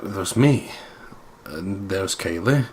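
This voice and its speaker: A man's voice: deep voice, lively intonation, slow pace, noisy background. A young man hosting a let's play, reading a visual novel aloud with playful, sweary commentary.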